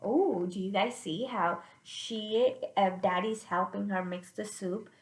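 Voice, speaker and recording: A woman's voice: medium pitch, takes it slowly, roomy sound. A woman reading a storybook aloud to young children, often turning to the listeners with chatty questions. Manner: addresses listeners directly with checking questions.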